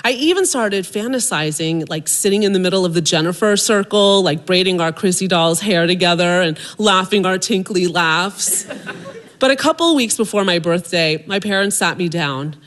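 A middle-aged woman from suburban Maryland telling a funny personal story on stage, with self-deprecating humor and lively comic timing. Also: fairly low pitch, normal speed.

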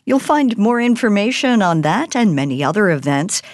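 A woman's voice: medium pitch, big pitch swings, normal speed, no echo. A woman radio announcer reading a scripted community announcement.

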